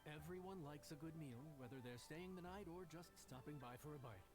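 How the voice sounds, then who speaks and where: A man's voice: fairly high pitch, a little fast, over music, natural voice. A man playing a modest, polite young inn chef in a video game, earnest and hospitable. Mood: friendly.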